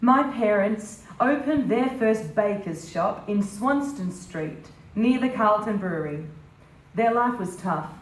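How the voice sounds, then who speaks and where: A woman's voice: medium pitch, animated, slow pace. A woman giving a fond dramatic reading as a bakers' daughter remembering her parents. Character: bakers' daughter fondly recalling hardworking parents. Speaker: stage reader. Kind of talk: dramatic reading of family memories. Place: indoor live literary event. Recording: echoey hall, distant mic.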